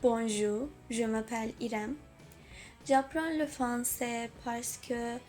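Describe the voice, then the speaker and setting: Unhurried, high-voiced young woman. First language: Turkish. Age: young adult. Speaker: university language student. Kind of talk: reciting a prepared answer. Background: soft background music.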